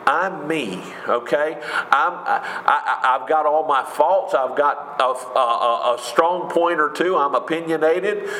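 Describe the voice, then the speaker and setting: A man with a big booming voice preaching a sermon in an emphatic, conversational way, with rhetorical questions. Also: slow, sharp tone, slight background noise. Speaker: pastor. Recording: echoey room with faint ambience.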